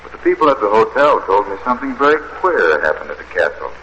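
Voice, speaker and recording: An affectionate, poetic husband talks in a fairly low, sharp-edged voice in a vintage radio drama. The recording is lo-fi with faint hiss. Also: male voice, very animated, fast talker. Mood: confiding. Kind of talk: 1940s radio drama acting. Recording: dull, hissy old radio recording.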